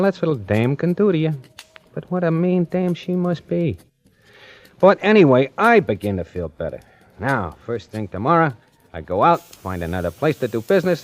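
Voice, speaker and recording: An actor playing a brash, jumpy small-time hustler who narrates his own story in wry, colloquial 1940s radio suspense style. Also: fairly low pitch. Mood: wry, puzzled. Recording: vintage radio broadcast with music.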